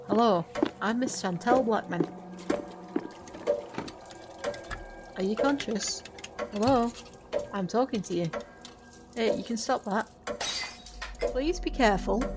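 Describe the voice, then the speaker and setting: An actress playing a calm, protective Victorian lady witch in an audio drama, polite but firm. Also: medium pitch, close to an everyday voice. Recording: loud music under the voice.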